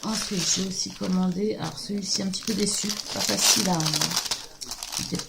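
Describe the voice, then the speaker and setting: A woman with a hoarse voice from a cold, chatting casually and rambling with many fillers as she shows off her craft purchases at home. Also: mid-range voice, fairly even intonation, a little fast, heavy background noise. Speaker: craft video host. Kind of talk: casual show-and-tell haul.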